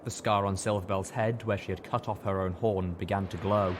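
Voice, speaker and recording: A man's voice: deep voice, fairly even intonation, fairly quick, background noise. A man reading a scripted story aloud as an audiobook narrator. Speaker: audiobook reader.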